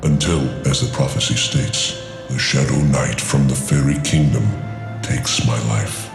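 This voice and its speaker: A man voicing an ancient, weary dragon in a fantasy game, speaking gravely in archaic phrases with a gravelly voice. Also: a bass voice, fairly even intonation, exaggerated voice. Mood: solemn, weary. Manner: archaic, grave, deliberate speech. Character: ancient weary prophetic dragon, male, fatalistic and solemn. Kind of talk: fantasy video game voice acting. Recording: cavernous echo with music underneath.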